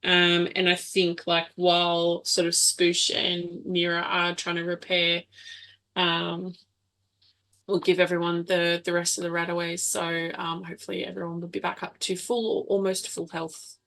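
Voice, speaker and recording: A woman's voice: lowish voice, monotone, unhurried, fairly bright tone. A woman playing a tabletop roleplaying game, chatting casually and thinking aloud as she goes.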